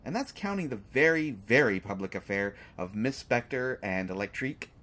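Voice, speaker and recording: A man's voice: medium pitch, lively intonation, moderate pace, a bit bright, natural voice. A man playing a sarcastic, unafraid hostage who teases a villain in an audio drama, with exaggerated mock reactions. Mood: taken aback, teasing. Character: sarcastic, unafraid male hostage taunting a lovesick supervillain. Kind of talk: scripted roleplay audio drama monologue.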